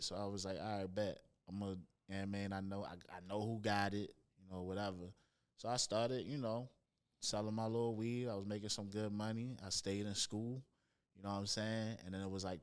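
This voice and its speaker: A young man with a distinctly nasal voice sits in as a rapper guest, spinning his stories in loose, laid-back slang. His voice sits a little low and comes through smooth and clean on a close studio mic. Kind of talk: casual interview storytelling. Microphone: studio podcast mic near the nose.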